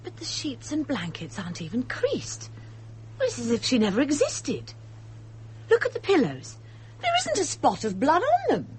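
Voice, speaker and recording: An actress playing an impulsive, confident young woman amateur sleuth in a radio drama, brisk and emphatic. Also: high voice, wildly swooping pitch, a little mellow, a little hiss or hum. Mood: puzzled.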